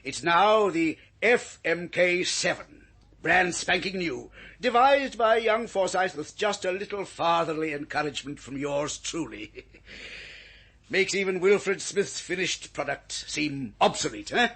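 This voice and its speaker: An actor playing a blustering, folksy older British politician in an old radio drama, raspy and rambling in manner. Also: male voice, high-pitched, animated, unhurried, sharp tone, heavily acted. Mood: needling. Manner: hesitant, rambling, self-deprecating bluster.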